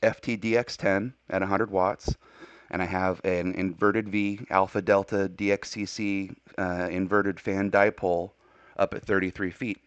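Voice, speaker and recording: A man's voice: low voice, level tone, moderate pace, smooth, no echo, dull audio. An American man working as an amateur radio operator, speaking courteously in a casual on-air radio style. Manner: courteous, spells with phonetic alphabet.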